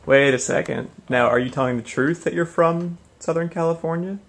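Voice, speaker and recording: A man's voice: a little high, brisk. A man interviewing casually from off camera, inquisitive and a little hesitant. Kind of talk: casual improvised questioning. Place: indoors, off camera.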